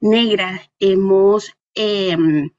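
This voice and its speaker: A woman's voice: mid-range voice, steady tone, extremely slow, darkish tone, lo-fi sound. A woman from Caracas with a Venezuelan accent, answering reflectively in an interview, thoughtfully searching for words and checking in with small tag questions. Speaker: interview guest, dancer and artist.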